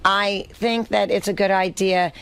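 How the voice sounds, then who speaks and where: A woman's voice: mid-range voice, fairly even intonation, measured pace, vocal fry, fairly bright tone, clear, resonant tone, dry recording. Earnest New woman making her case. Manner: earnest, speechmaking, with many fillers and restarts. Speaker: studio guest, activist. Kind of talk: casual radio talk.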